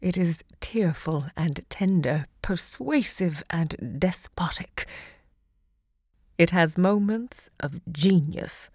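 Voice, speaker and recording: An actress playing a self-assured, romantic literary hostess and seductress in an audio drama, theatrical and eloquent in delivery. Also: female voice, on the low side, expressive, slow, a little mellow, slightly rough, no echo, studio quality, full character voice. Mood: proud, impassioned.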